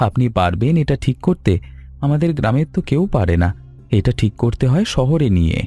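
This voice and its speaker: A man's voice: mid-range voice, big pitch swings, brisk, dark tone, no echo, faint music behind. A man playing an anxious, humble village weaver in a cartoon story, with a plaintive, earnest delivery. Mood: downcast.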